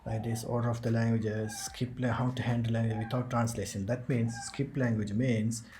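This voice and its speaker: A man hosting a screen-recorded software tutorial, explaining each step as he clicks through it. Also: medium pitch, flat delivery, moderate pace, slight background noise. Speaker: tutorial host.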